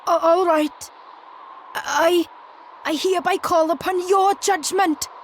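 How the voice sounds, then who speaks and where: A woman's voice: very high voice, steady tone, takes it slowly, a little hiss or hum. An actress playing a meek, devout young woman on trial in a video game, faltering and pleading. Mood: desperate resolve. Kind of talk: dramatic video game acting.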